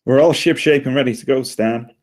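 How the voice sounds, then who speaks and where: A man's voice: mid-range voice, fairly quick, darkish tone, close to an everyday voice. A man playing an anxious, eager spaceship crew leader, flustered and hesitant, at a comedy table read.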